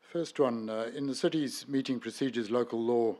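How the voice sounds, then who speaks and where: A man's voice: medium pitch, normal speed, dark tone. An Australian man, a resident and lead petitioner, reading formal questions in a precise, aggrieved tone. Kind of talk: reading prepared public questions.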